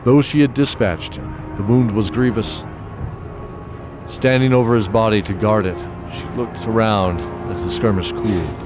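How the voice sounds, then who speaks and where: Low-voiced man narrating fantasy. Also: normal speed, music in the background.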